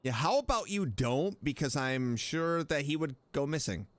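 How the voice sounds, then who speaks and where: A man's voice: a little high, lively intonation, normal speed, a little hiss or hum. A man giving casual reactions while streaming a game, muttering playful asides and often repeating himself. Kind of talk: casual gameplay reaction commentary.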